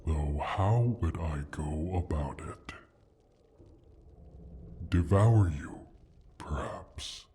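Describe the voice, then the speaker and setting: A man playing an injured, menacing dragon who guards his gold hoard, speaking in a slow, deliberate, husky voice in an ASMR roleplay. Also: warm tone, slight background noise, exaggerated voice. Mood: musing, threatening. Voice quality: husky, breathy, deep.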